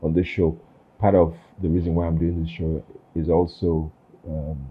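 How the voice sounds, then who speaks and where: Deep husky man hosting. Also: little pitch movement, dull audio. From Nigeria. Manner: thoughtful, halting, many fillers and restarts. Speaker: podcast host. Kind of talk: reflective conversational interview.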